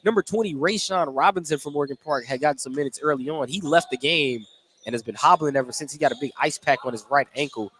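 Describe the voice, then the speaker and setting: High-voiced man calling basketball over loud noise. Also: quick pace. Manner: detailed, descriptive, running narration of each play. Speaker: play-by-play sports announcer. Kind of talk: live basketball radio commentary. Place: indoor high school gym. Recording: noisy live gym broadcast.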